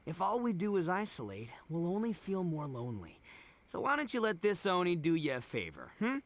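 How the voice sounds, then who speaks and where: A man's voice: high voice, wildly swooping pitch, fairly quick, a little hiss or hum, full character voice. A man voicing a brash, boastful young oni with a big heart in a video game, talking in brotherly slang. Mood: friendly, boastful.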